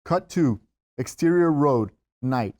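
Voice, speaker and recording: A man narrates a script at a table read, reading out the stage directions. His pitch sits in the middle range, and the sound is dry and close. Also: expressive, slow, dark tone, no echo.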